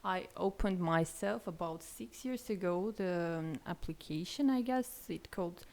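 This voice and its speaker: A woman, a guest in a casual interview, speaking hesitantly with many fillers and pauses. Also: fairly low pitch, gravelly, a little mellow. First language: Armenian.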